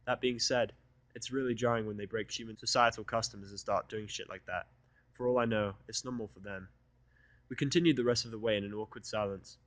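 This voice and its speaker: A man's voice: on the low side, fairly even intonation, a little fast, fairly bright tone, no echo. A man narrating first-person fiction with a sardonic, irritable delivery. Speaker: audiobook narrator.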